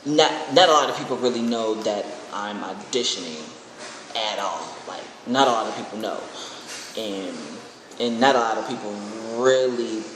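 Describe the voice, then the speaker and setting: A man's voice: fairly low pitch, normal speed, a bit bright. A man with an American accent talking casually in a rambling, informal vlog style, often restarting his phrases. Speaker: aspiring singer and video creator. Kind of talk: casual personal vlog announcement. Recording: very echoey, reverberant room with faint noise.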